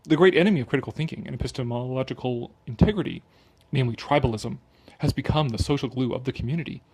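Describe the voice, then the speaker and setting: A man's voice: mid-range voice, fast, darkish tone, slightly rough. A man doing a sarcastic dramatic reading, breaking off for casual, opinionated and mocking commentary with some swearing. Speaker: commentator reading an article aloud with his own remarks.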